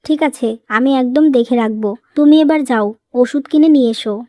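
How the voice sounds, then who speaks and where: A woman playing a composed, persuasive young daughter-in-law in a cartoon moral story, explaining things in a measured way. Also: high voice, mellow, no room sound, lightly acted.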